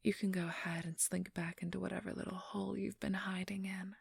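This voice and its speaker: A woman's voice: mid-range voice, little pitch movement, creaky, husky, dry recording, heavily acted. A woman playing a haughty, teasing, ageless vampire thief in an ASMR role-play, whispering breathily and condescendingly close to the microphone. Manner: condescending, teasing, drawn-out delivery. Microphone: close, dry microphone.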